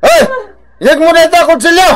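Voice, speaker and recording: A man's voice: extremely high pitch, sing-song, a little fast, noisy background, exaggerated voice. A man with an Ilocano accent playing an emotional, grunting, hot-tempered husband in a radio drama. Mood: drunken rage. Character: hot-headed drinking husband and father, later remorseful.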